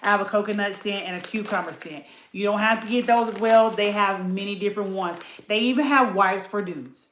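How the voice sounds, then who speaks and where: A woman's voice: medium pitch, fairly quick, hardly any pauses, fairly bright tone, echoey room, slight background noise. A woman, chatting casually to camera about a beauty haul and addressing viewers as y'all. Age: late 30s.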